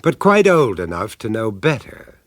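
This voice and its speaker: A British man narrates with a wry storyteller's touch. His voice is somewhat low. The recording is dry and close. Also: big pitch swings, moderate pace, no echo. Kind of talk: scripted narration.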